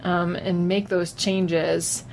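A woman chatting casually and unscripted as a guest giving a film review, with a hesitant, halting delivery. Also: on the low side, little pitch movement, darkish tone, poor audio. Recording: noticeable background noise.

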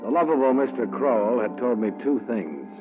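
An American actor playing a tough, wry insurance investigator in a 1950s radio detective drama, terse and hard-boiled. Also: male voice, mid-range voice, sing-song, fairly quick, close to an everyday voice. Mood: wry narration. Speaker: lead actor and narrator of a detective serial. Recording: tinny vintage 1950s radio, music behind.

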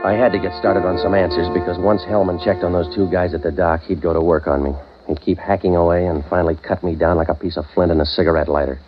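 A dull vintage radio recording carries an actor as a hard-boiled American man for hire. He speaks low and very fast, in clipped deadpan wisecracks. He sounds cynical and weary, straight out of 1940s noir. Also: loud music behind the voice, natural voice. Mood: brooding, wry.

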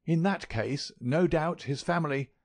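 A man's voice: a little high, a little slow, voice pushed up. A man doing a hearty, earnest young sportsman.

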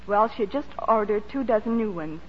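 A woman's voice: mid-range voice, expressive, a little fast. Young woman on echoing old radio. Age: young adult. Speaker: actress guest on radio show. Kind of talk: scripted 1940s radio interview. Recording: dull, reverberant, faintly noisy old radio recording.

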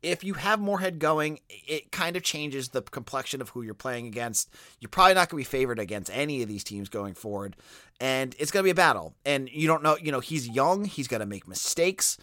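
A man in his thirties with a Boston accent, hosting a sports podcast in a casual, animated way and thinking aloud with quick restarts. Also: medium pitch, no echo, studio quality. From Boston area. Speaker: podcast host.

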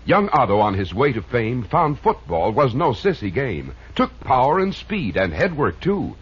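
A very husky male announcer punches out a hearty vintage radio ad. His pitch leaps about, and the old recording sounds dull. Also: sing-song, moderate pace. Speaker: commercial announcer. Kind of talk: 1950s radio ad pitch, rhyming.

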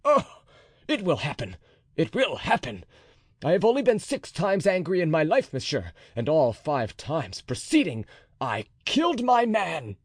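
A man's voice: a little high, big pitch swings, a little fast, few pauses, a little mellow, no room sound, clean studio sound, pitched above the performer's own voice. Man voicing D'Artagnan, a fiery musketeer captain. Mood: furious. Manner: raised, intense, menacing delivery.

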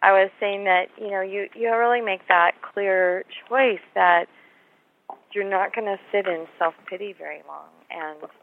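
Slightly hoarse woman on a phone line. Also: medium pitch, measured pace. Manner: earnest, reassuring, repeats words. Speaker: author and guest. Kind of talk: interview about her book.

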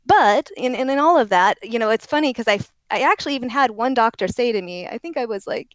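Woman from oregon, very young-sounding voice, rambling, self-correcting, laughs often, smooth tone, dry close sound. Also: fairly high pitch, expressive, fast talker, no room sound. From Oregon, USA. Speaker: interview guest. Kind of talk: casual interview conversation.

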